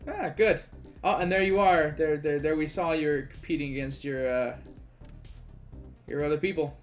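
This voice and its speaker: Playful higher-voiced man from Quebec co-commentating. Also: moderate pace, faint music behind. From Quebec, Canada. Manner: playful, teasing, sarcastic. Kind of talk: casual joking chat over gameplay.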